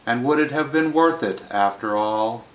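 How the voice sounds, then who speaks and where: A man reading poetry plainly in a measured, phrase-by-phrase delivery, with line endings that trail off. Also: mid-range voice, roomy sound, rough recording. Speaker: reader of poetry.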